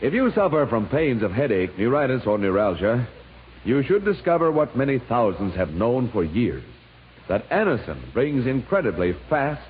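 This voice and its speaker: A man reading a scripted 1950s radio advertisement with polished, emphatic, deliberate enunciation. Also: medium pitch, narrow, tinny audio. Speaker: commercial announcer. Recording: thin vintage radio with faint hiss.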